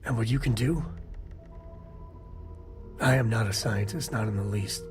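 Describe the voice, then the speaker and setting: A man's voice: lowish voice, a little slow, natural voice. An actor playing an easygoing, plain-spoken male spacefaring companion who is concerned for a friend, with faint game music behind. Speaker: companion character. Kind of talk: video game voice acting.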